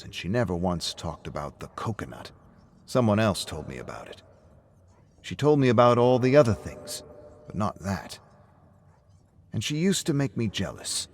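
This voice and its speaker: A man acts out a wistful, talkative male friend in a narrated story. His voice is low and he rambles in a philosophical, consoling way, with noticeable noise behind. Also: a little mellow. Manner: rambling, philosophical small talk.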